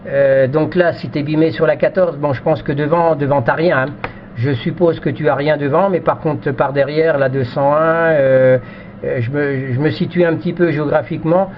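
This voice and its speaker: A man's voice: a little high, steady tone, moderate pace. A man in a casual technical chat as an amateur radio operator, often repeating himself and hesitating as he explains. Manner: repetitive, hesitant phrasing. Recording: muffled band-limited radio audio, faint noise.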